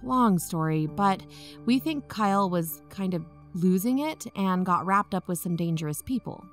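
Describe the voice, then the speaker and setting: A woman's voice: fairly low pitch, animated, normal speed, faint music behind, close to an everyday voice. A woman playing an earnest young amateur investigator and podcaster, probing in a natural, matter-of-fact way. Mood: concerned, probing. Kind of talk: audio drama narration and natural phone dialogue.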